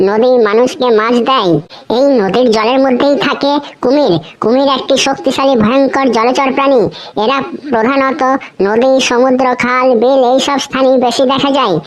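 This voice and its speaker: A woman's voice: high voice, fairly even intonation, normal speed, close to an everyday voice. A woman voicing a cheeky, bluffing village schoolkid in a comedy cartoon, with slangy teasing speech. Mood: reciting earnestly.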